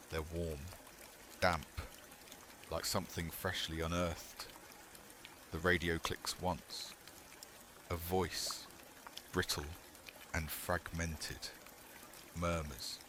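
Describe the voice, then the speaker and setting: A man narrating a scripted horror story in the second person, in short measured phrases with pauses. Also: low voice, background noise.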